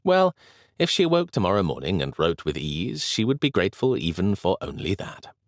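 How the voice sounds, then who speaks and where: A man reads a period novel aloud in a measured, expressive way. His voice is fairly low and moves with lively inflection, recorded dry and close. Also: no echo. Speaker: audiobook narrator. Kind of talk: scripted audiobook narration.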